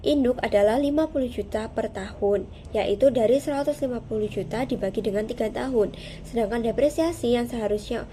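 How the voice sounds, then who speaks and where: A young woman with a mellow, fairly high voice. She delivers a prepared student presentation, formal and methodical, moving step by step. Some background noise sits behind her. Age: young adult.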